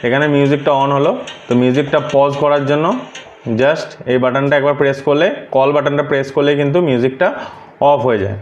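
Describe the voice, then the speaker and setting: A man's voice: mid-range voice, level tone, a little fast, muffled recording, slight background noise. A man gives a casual hands-on tutorial as a vlogger, explaining things and mixing English words into his sentences. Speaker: vlogger presenting a product unboxing.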